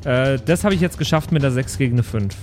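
A man's voice: medium pitch, lively intonation, fast talker, a little mellow, faint music behind. A man chatting casually as a player in an improvised pen and paper audio play.

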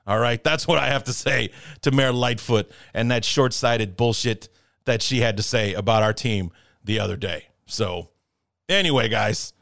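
A man's voice: mid-range voice, fairly bright tone, clear, resonant tone, no room sound, studio quality. A man hosting a sports podcast, blunt and opinionated, swearing freely as he talks. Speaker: podcast host. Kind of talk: casual sports podcast talk.

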